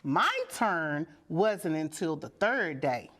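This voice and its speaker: A woman reading a children's storybook aloud with deliberate, dramatic and expressive phrasing. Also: low voice. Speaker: storybook reader.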